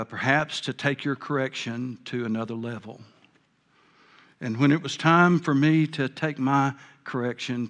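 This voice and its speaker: A man's voice: medium pitch, fairly bright tone. An older man preaching a sermon, earnest and folksy, with a big voice. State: a bit hard of hearing. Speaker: pastor.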